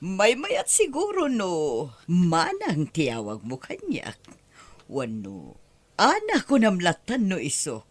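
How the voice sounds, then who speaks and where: A woman's voice: on the low side, wildly swooping pitch, takes it slowly, full character voice. A woman acting a wealthy, doting, forward lady in an Ilocano audio drama, with a coquettish, sighing delivery. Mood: flirtatious, coaxing.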